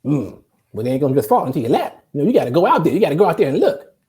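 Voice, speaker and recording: A woman's voice: deep voice, very animated, racing, dark tone. A woman playing an opinionated, boastful old male barber in a comedy table read, folksy and argumentative. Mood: advising.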